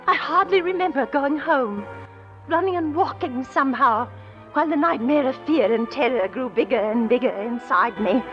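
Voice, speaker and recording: High-pitched, frantic wife. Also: female voice. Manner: breathless, gasping, melodramatic. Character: frightened, excitable wife, landlady to a murderous lodger. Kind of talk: old-time radio drama acting and narration. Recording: muffled vintage radio recording with music.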